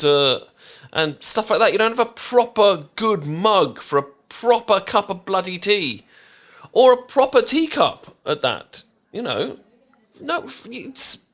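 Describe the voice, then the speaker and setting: A man's voice: a little high, a bit bright. A British man playing a flustered, tea-obsessed boyfriend in an ASMR roleplay, stammering and rambling as he chats to the listener. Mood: indignant, insistent.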